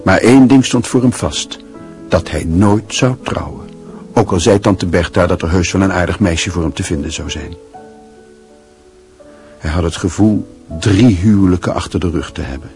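An actor narrating a literary radio drama in a measured, reflective, scripted style. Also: male voice, deep voice, darkish tone, faint music behind. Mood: wry, reflective.

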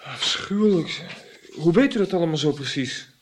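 An actor playing a curious, polite and determined young traveller in a radio drama, speaking earnestly. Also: male voice, fairly high pitch, expressive, normal speed. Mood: shocked, curious. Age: young adult.

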